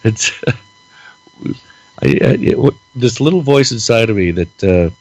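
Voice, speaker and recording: A man's voice: mid-range voice, big pitch swings, slow, darkish tone, rough recording. A man co-hosting a casual political podcast, ranting with lots of repeated words while losing his voice and stuffy-nosed. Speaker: podcast co-host.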